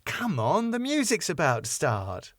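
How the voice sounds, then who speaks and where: A commanding, poised ballroom lady, as a man puts her on in a sitcom reading. The voice is lifted in pitch, theatrical and used to being obeyed. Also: huge pitch swings, a little slow, a bit bright, no room sound, clean studio sound, heavily acted. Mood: bossy, coaxing. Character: Doreen, bossy, poised woman ballroom dancer.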